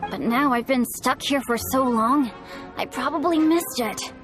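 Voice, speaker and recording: A woman's voice: high voice, measured pace, music in the background. A woman voicing an eager, polite, hapless teenage boy adventurer in a video game, speaking earnestly. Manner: earnest, apologetic. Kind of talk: video game character acting.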